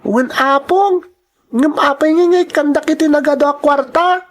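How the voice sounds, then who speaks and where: A woman's voice: high-pitched, normal speed, close to an everyday voice. A woman playing a polite, caring young boy in a radio drama, with an Ilocano accent. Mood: surprised, caring.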